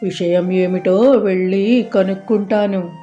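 A woman's voice: mid-range voice, fairly even intonation, unhurried, mellow, faint music behind, close to an everyday voice. A woman playing a greedy, suspicious male moneylender with a prying, scheming manner in a children's cartoon story. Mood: suspicious, envious.

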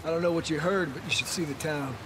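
An actor playing an earnest, plainspoken middle-aged Texan survivor leading a settlement in a video game, in a higher male voice. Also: fast, background noise, close to an everyday voice. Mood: earnest, proud. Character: earnest younger brother leading a survivor settlement, rugged and hopeful. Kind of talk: video game cutscene acting.

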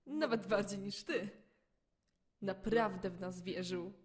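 A woman playing a mocking, sadistic demon child who possesses a girl, in an amateur comic dub, taunting with drawn-out words and outbursts. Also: medium pitch, lightly acted. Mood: cruel mockery.

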